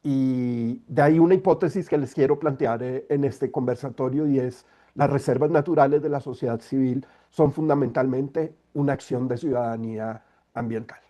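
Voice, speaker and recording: A middle-aged man, an environmental lawyer giving an online talk while congested with a cold, speaking in a measured, explanatory way over a video call. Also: mid-range voice, warm tone.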